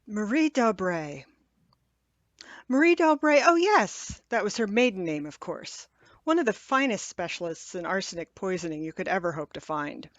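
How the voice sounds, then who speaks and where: A woman's voice: medium pitch, big pitch swings, normal speed, dry recording, lo-fi sound, close to an everyday voice. A woman playing a wry, chatty, knowledgeable English professor in a radio drama. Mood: wry relish. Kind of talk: old-time radio drama acting.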